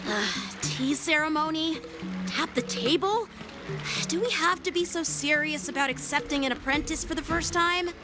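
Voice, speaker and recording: Lady doing a young teen boy sword teacher, highish voice, courteous and measured, game music behind. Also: lightly acted. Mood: taken aback. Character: polite, earnest teenage boy swordmaster, gently teasing. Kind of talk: video game voice acting. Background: game background music.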